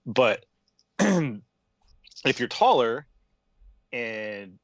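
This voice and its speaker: Male co-host, halting speech full of fillers, muffled dry lo-fi mic. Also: medium pitch, big pitch swings, dry recording. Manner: hesitant, filler-heavy. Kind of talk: casual chat. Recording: dull lo-fi mic.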